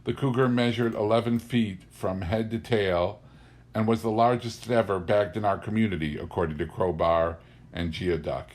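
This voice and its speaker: A man reading a memoir novel aloud in an expressive, scripted storytelling style. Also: fairly low pitch, normal speed. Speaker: reader of an audiobook.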